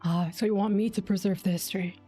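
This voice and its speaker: A woman's voice: medium pitch, steady tone, fast talker, dark tone, slightly rough. A young adult woman reacting casually on a live game stream in a chatty, slangy, informal way. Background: game music.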